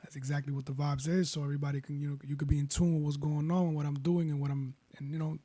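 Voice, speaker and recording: A man with a baritone voice, casually walking viewers through making a beat and rambling as he goes. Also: quick pace. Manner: relaxed, rambling, self-correcting. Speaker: beat producer. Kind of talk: casual tutorial talk. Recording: faint music behind.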